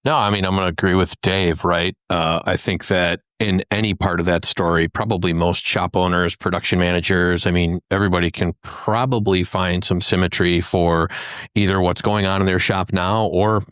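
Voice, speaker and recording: A man's voice: low voice, fairly even intonation, moderate pace, fairly bright tone, clean tone, no echo, studio quality. A man co-hosting a podcast in casual conversation. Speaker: podcast co-host.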